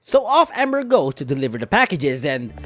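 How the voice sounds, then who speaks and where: A woman's voice: sits low, big pitch swings, fairly quick, fairly bright tone, dry recording, faint music behind. A woman narrating a scripted comedic movie review in a sarcastic, exasperated manner. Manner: sarcastic, exasperated, foul-mouthed. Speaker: video reviewer.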